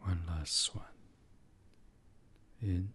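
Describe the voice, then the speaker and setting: A man leading a guided sleep meditation in a hushed, breathy, unhurried voice that often slips toward a whisper, soothing and instructional. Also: low-pitched, fairly even intonation, a little hiss or hum.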